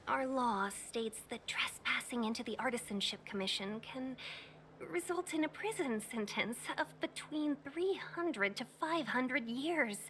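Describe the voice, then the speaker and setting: A woman's voice: a little high, bright, forceful tone, slight background noise. A woman voicing a courteous, cautious young guide in a video game, explaining things formally and politely. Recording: background music throughout.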